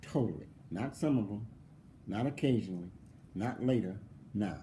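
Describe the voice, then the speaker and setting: A man speaking as a philosophy professor, his pitch jumping all over as he fires off mocking rhetorical questions. He laughs easily and hammers his points home by repeating them. A faint hiss sits under the voice. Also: expressive, moderate pace, warm tone. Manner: emphatic, repeats points for stress. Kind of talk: conversational interview answer.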